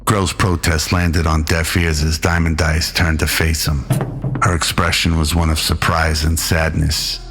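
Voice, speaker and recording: A man narrating a scripted sci-fi radio drama in an old-school, measured storytelling manner. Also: a bass voice, level tone, fairly bright tone, faint music behind.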